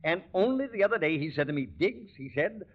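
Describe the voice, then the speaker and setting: A man's voice: high voice, sing-song, fairly quick. An actor playing a persistent, evasive small-town insurance salesman with folksy sales patter, in 1940s radio comedy style. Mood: salesmanlike, evasive. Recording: muffled old 1940s radio broadcast.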